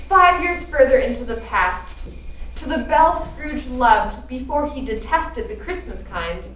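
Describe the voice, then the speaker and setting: Female radio-play narrator, high pitch, theatrical scripted delivery, roomy sound with faint noise. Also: animated, measured pace, recorded from a distance. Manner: theatrical storytelling. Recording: echoey room from afar, faint noise.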